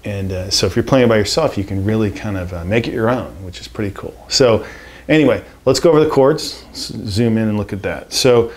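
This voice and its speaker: A man in his forties giving a casual guitar lesson in a home studio, relaxed and rambling with lots of fillers. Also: on the low side, brisk. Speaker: guitar teacher. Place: home music studio, indoors. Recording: guitar strummed under the voice. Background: acoustic guitar playing.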